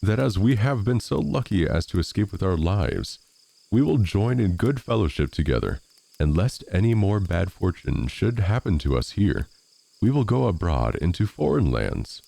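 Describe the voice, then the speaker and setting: A man plays a prudent, sociable little bean, a she, from a storybook. The speech is formal and wordy, with animated rises and falls and a warm, soft-edged tone. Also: deep voice, moderate pace, gravelly, full character voice. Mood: thoughtful, resolute.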